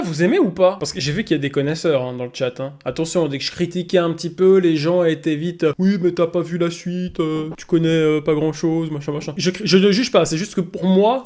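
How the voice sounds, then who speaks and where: A young man streaming, rambling and exclaiming as he reacts to a cartoon. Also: fairly high pitch. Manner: excitable, rambling, exclaims often. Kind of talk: casual reaction commentary. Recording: cartoon soundtrack music behind.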